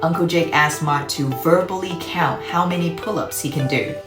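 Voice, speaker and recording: A woman's voice: sits low, moderate pace. A woman hosting a children's read-aloud, explaining words and putting questions to the listener as she narrates. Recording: roomy echo, faint music behind.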